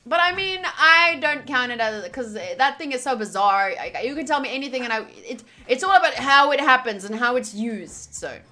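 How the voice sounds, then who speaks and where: A woman's voice: high-pitched, normal speed, hardly any pauses. A woman streamer reading visual novel text aloud with casual, playful commentary. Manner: animated, playful, exclaiming. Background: game music.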